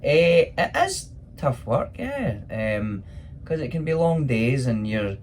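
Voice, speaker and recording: A man's voice: medium pitch, sing-song, slow pace, a little mellow, a little hiss or hum. A man with a Scottish accent who performs in pantomime, answering questions in a casual chat.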